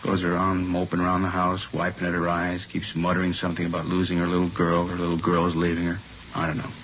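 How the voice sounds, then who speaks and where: Flat-toned, grumbling middle-aged policeman. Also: low voice, quick pace. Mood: wry, resigned. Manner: terse, folksy, drops words. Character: grumbling, good-natured middle-aged policeman and father of the bride. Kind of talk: 1950s radio drama acting. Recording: muffled, slightly noisy old radio recording.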